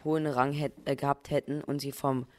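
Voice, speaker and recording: A man's voice: medium pitch, little pitch movement, brisk, darkish tone. A man from the audience asking a long, formal question with a few stumbles.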